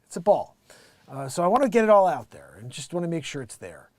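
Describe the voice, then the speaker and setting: A middle-aged American man hosting a casual livestream, rambling emphatically and excitedly in an unscripted monologue, often acting out bits of quoted conversation. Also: on the high side, quick pace, no room sound. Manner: animated, rambling, emphatic, quotes himself and others. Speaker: live music show host and musician.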